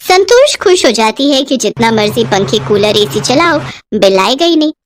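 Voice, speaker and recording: A woman narrating a cartoon story in a clear, even storytelling manner. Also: fairly high pitch, moderate pace, slight background noise.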